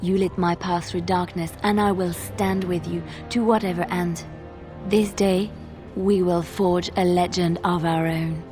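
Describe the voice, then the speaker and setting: Woman acting a low-voiced, idealistic, devoted adventurer. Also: steady tone, measured pace, close to an everyday voice. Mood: tender, resolute. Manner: lyrical, heartfelt. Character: devout, tender female adventurer, devoted and idealistic. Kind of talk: video game voice acting. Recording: music behind the voice.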